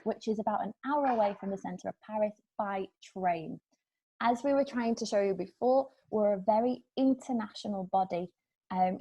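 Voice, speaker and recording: A woman's voice: medium pitch, mellow, slightly rough, poor audio. A British woman, an admissions manager, presenting in an upbeat way during an online information session over a video call. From England.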